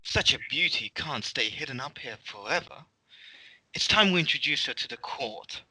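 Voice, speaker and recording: Man reading as a bright-voiced, condescending queen. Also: medium pitch, animated, normal speed, breathy edge, no echo, exaggerated voice, poor audio. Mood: cool, condescending. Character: cool, condescending queen at a royal feast. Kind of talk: amateur script table read.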